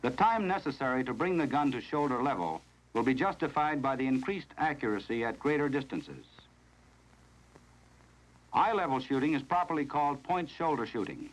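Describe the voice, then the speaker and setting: An American man reading scripted narration in the formal, measured, instructional manner of a 1970s training film, on a vintage film soundtrack. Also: mid-range voice, very animated, rough recording.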